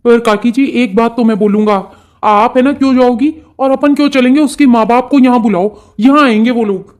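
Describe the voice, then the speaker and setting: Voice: medium pitch, a little fast, natural voice. A performer voicing a protective, plain-spoken adult son in a family cartoon, insistent and reassuring. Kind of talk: Hindi family comedy cartoon dialogue.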